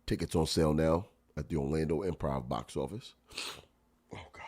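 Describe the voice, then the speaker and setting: A man hosting a podcast, a stand-up comic chatting and reacting to clips, with a stuffy nose and loud laughs. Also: very deep voice, normal speed. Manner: animated, laughs loudly, chatty slang. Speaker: podcast host and stand-up comedian. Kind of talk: casual chat reacting to clips.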